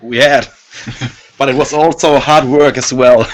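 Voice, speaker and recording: A man in a band who sings and plays guitar, answering interview questions in a hesitant, halting way with many fillers. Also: medium pitch, fairly even intonation, rough recording. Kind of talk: casual interview.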